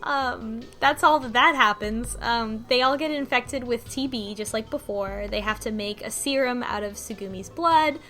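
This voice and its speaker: A woman's voice: on the high side, moderate pace, few pauses, bright, forceful tone. A woman casually recapping a visual novel on a podcast, with lots of ums and restarts. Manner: rambling, many ums and restarts, laughs often.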